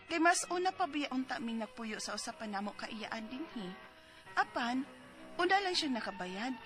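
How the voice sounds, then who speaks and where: An actress playing a hurt, pleading middle-aged niece in a Cebuano radio drama, telling her troubles earnestly. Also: a little high. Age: 40s. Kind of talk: radio drama acting and letter narration. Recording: music behind the voice.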